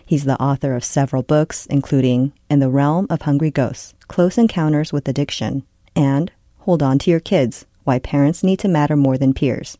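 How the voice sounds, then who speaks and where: A program host reading a scripted introduction in an even, formal manner. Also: mid-range voice, moderate pace, dry recording, clean studio sound.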